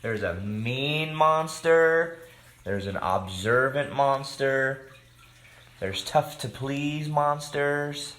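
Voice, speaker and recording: A man leads story time for young children in an echoey room. He reads expressively at an unhurried pace, in a mid-range voice, and chats casually to the listeners between lines. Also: mid-range voice. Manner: expressive, simple addresses to children.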